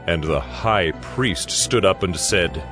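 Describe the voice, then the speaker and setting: Very deep-voiced male scripture narrator over music. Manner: measured, dramatic. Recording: dramatic music behind.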